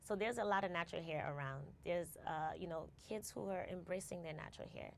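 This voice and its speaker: A woman's voice: lowish voice, fairly quick, vocal fry, a little hiss or hum. A woman, a guest reflecting in a casual interview and often checking in with "right?". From United States. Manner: reflective, tags phrases with "right?" and restarts words. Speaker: guest, company founder.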